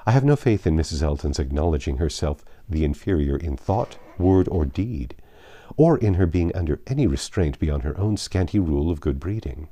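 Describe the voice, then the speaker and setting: A man voicing a lively, opinionated young gentlewoman from a classic novel, speaking in an arch, emphatic and formal way. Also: very deep voice, moderate pace, no room sound. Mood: wry, indignant.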